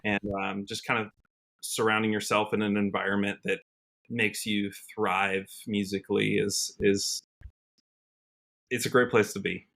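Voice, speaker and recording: An American man in his early thirties with a bright, forward voice. He talks casually as a musician guest in an interview, in a conversational way peppered with "you know.". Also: on the low side, little pitch movement, measured pace, gravelly. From Washington State, United States. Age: early 30s.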